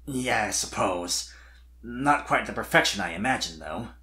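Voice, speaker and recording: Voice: mid-range voice, lively intonation, moderate pace, pushed and bright, voice pushed down. A performer voicing a shy, kind young male dragon in a fan fiction reading, nervous and hesitant. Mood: unsure. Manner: stammering, hesitant, bashful.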